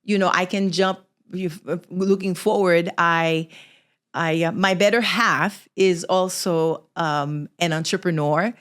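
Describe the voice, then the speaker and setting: A woman's voice: on the low side, fairly even intonation, a little slow, a bit bright. A middle-aged woman from Haiti, telling her own story conversationally as a podcast guest. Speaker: podcast guest, small business founder.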